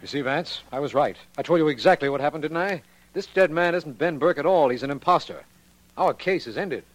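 An actor playing an earnest district attorney in a 1940s radio drama, speaking in a formal, emphatic way. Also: male voice, a little high, a little fast, no echo, natural voice. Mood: self-satisfied. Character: earnest, quick-to-conclude male district attorney. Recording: faintly noisy old radio recording.

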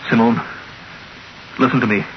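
An actor playing an obsessed, impatient scientist who talks with urgent intensity in an old radio drama. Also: male voice, mid-range voice, fairly even intonation, normal speed, close to an everyday voice. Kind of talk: 1940s radio acting. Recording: hissy, muffled old radio recording.

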